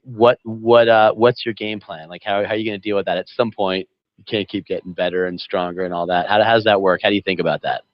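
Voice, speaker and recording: A man's voice: lowish voice, steady tone, quick pace, no room sound. A man in his forties moderating a panel, asking informal, stumbling questions. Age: 40s. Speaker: host. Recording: dull dry audio.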